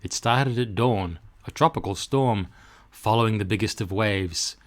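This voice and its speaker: A man reading a children's rhyming storybook aloud in rhythmic, expressive narration. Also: on the low side, measured pace. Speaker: storybook reader.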